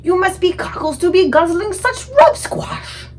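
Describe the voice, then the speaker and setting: A woman voicing a greedy, bullying male giant who eats people, booming and blustering in a children's storybook reading. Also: expressive, measured pace, a little hiss or hum. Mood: scornful. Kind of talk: children's storybook read-aloud.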